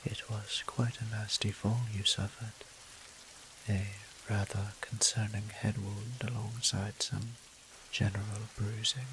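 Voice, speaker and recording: A man playing a gentle, doting vampire priest and healer in a roleplay audio drama, speaking softly in formal, old-fashioned, courteous phrasing. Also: lowish voice, background noise. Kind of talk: scripted roleplay audio drama, addressing the listener.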